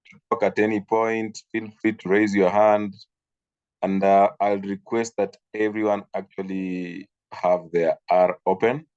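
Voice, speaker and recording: A man's voice: low-pitched, a little mellow, muffled recording. A man from Kenya running an online training session, hesitant, with many fillers and repeated words. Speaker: instructor. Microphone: video call.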